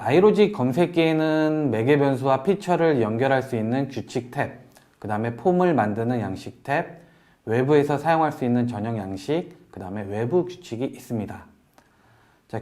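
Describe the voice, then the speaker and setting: A man presenting a software tutorial in a polite, explanatory way, walking through each step as an instructor. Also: medium pitch, expressive, moderate pace. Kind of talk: software tutorial narration over screen demo.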